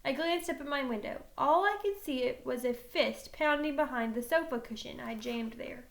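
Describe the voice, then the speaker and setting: A woman reading a children's novel aloud in an animated, dramatic storytelling manner. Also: high-pitched, moderate pace, gravelly. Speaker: teacher reading a book aloud.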